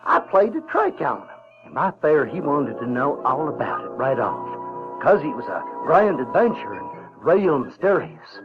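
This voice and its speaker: An actor playing a folksy, earnest Southern American runaway boy who tells his adventures in halting, colloquial speech. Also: on the high side, wildly swooping pitch. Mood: storytelling. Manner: halting, colloquial, repeats words. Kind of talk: first-person storytelling in radio drama. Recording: dull 1970s recording with music.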